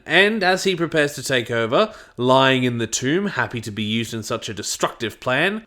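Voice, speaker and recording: A man's voice: mid-range voice, sharp tone. A man narrating a scripted review as the reviewer.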